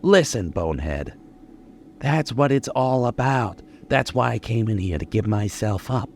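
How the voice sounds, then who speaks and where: An actor playing a lonely, menacing killer in a 1940s-style radio suspense drama, talking in slow, colloquial tough-guy speech. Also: mid-range voice, expressive, gravelly, darkish tone, slight background noise. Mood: weary, resigned.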